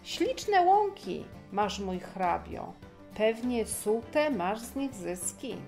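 A woman's voice: a little high, very animated, drawn-out words, a little mellow, soft background music, full character voice. A woman voicing a jovial, pompous king in a rhymed fairy-tale audio drama, with a grand, exclamatory delivery. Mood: curious.

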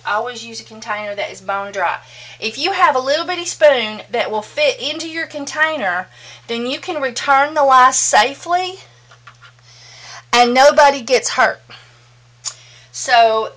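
A middle-aged woman with a Southern US accent gives a chatty, folksy soapmaking demonstration in her home soap room. Her voice sits high, and she talks warmly and plainly to her viewers, as if they were sitting beside her. She is picked up by the camera mic rather than a mic clipped close to her. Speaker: soapmaking instructor.

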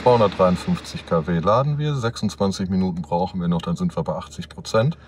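Man driving, hesitant chat over loud road noise. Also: low-pitched, quick pace. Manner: hesitant, with many ums. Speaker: car vlog co-host, driver. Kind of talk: casual in-car chat. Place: inside a moving car.

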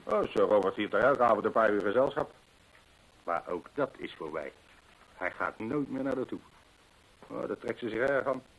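An actor playing a talkative, anxious horse trainer in a Dutch radio drama, with a husky, gossipy way of telling things. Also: male voice, on the low side, animated, normal speed, long pauses, slight background noise, low-quality recording. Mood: worried, confiding. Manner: gossipy, emphatic storytelling.

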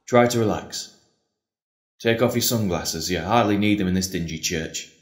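A man playing an earnest, patient priest hearing confession in an audio drama, measured and consoling. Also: low voice, natural voice. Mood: gentle, firm. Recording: echoey room.

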